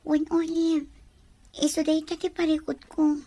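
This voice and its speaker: A woman's voice: high voice, little pitch movement, a little slow, dark tone. A woman playing an anxious young student under family pressure in an Ilocano audio drama. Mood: worried.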